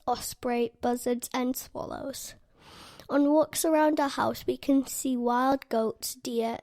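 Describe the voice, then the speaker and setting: Young girl reading carefully, high voice w some gravel. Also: a little mellow. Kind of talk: reading a prepared statement.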